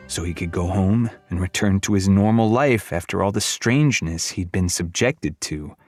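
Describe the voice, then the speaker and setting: A male audiobook narrator reads fantasy fiction in a low voice. His delivery is scripted storytelling, with music clearly heard behind it. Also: darkish tone, no echo, studio quality.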